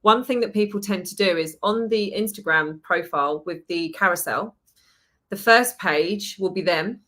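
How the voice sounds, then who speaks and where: A woman from the UK with an English accent, a permanent makeup trainer chatting as a podcast guest and telling stories in a lively way. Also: lowish voice, normal speed. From United Kingdom. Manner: chatty, lively storytelling with laughs. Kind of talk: casual podcast chat.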